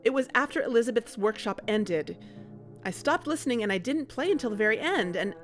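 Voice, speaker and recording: A woman's voice: a little high, lively intonation, brisk, faint music behind, natural voice. An actress playing a concerned, observant young woman investigator in a naturalistic audio drama. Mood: thoughtful.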